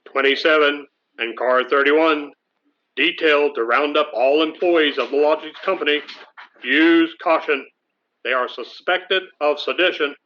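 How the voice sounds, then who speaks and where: An actor playing an official police dispatcher in a radio play, clipped and deadpan over a police radio. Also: male voice, on the high side, measured pace. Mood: stern, deadpan. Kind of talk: 1950s radio play acting. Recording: dull, noisy police radio broadcast.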